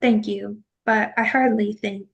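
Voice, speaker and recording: A woman's voice: mid-range voice, fairly even intonation, a little slow. A woman playing a dignified, guarded man in exile, formal and reserved, in an amateur script read-through. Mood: guarded, polite.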